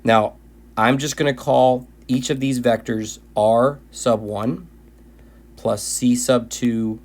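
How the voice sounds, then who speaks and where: A man teaching linear algebra in a recorded video lecture, his voice tired and going hoarse, often restarting and repeating phrases. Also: mid-range voice, fairly even intonation, slow. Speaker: math teacher.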